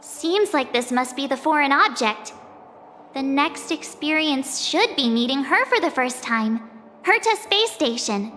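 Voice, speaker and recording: A woman voicing a perky, easygoing teenage girl adventurer in a video game, casual, expressive and a little sheepish. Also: sits high, unhurried, heavily acted. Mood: casual, resolved. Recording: long hall reverb, game music behind. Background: game music.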